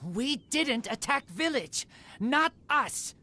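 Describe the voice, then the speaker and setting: Halting feral wolf boy. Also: high voice, very animated, pushed and bright, a little hiss or hum, exaggerated voice. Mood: insistent. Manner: terse broken sentences, halting. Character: feral teenage boy raised by wolves, terse and wary. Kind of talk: video game character acting.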